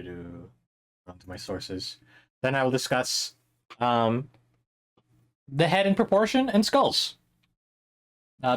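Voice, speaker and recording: A young man with a slightly hoarse voice leads a drawing lesson on a live stream. He talks casually and rambles, filling pauses with ums and small laughs as he explains. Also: medium pitch. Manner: chatty, rambling, with many fillers and laughs. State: a little hoarse. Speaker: art instructor streaming a drawing workshop.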